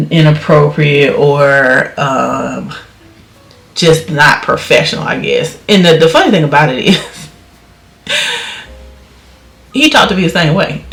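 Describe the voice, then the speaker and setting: A woman vlogger with a naturally high voice chatting casually while doing her makeup at home, loud, blunt and outspoken, rambling with plenty of fillers. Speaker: beauty vlogger. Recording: echoey room.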